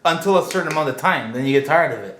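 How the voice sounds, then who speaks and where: A man talks fast in a relaxed podcast chat, agreeing easily and leaning on fillers. His voice is high. The room echoes, and faint noise sits behind him. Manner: quick, agreeable, filler-heavy. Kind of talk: casual chat.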